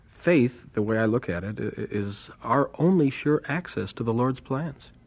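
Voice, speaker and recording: An actor playing a good-humored, earnest young missionary husband in a radio drama, with an American accent and a gentle, slightly bashful manner. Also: male voice, mid-range voice, expressive, a little slow.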